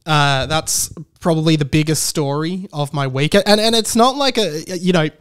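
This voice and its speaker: A man's voice: on the high side, moderate pace, a bit bright, clear, resonant tone. An Australian man hosting a casual solo podcast, chatting in a rambling, self-interrupting way with emphatic repetition. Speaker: podcast host.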